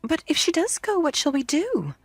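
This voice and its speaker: A woman voicing young children who plead eagerly and desperately, in a high breathless voice. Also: expressive, brisk, darkish tone, no room sound, soft background music. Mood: despairing.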